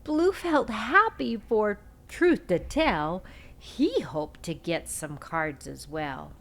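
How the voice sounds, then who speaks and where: A woman reads a picture book aloud for young children as the host. Her storytelling is expressive and unhurried, with big swings in pitch around a middle register. The phrasing is simple and made for small listeners. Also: gravelly.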